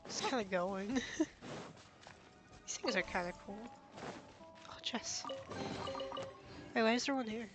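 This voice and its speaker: A woman with a slightly high voice comments live on a game she is streaming. She is informal and slangy, reacting as she plays, with music clearly audible behind her. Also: takes it slowly, pauses often.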